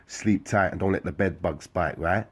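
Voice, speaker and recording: A man's voice: low-pitched, moderate pace, breathy edge. A man voicing a flirtatious female prison officer with a teasing, seductive manner.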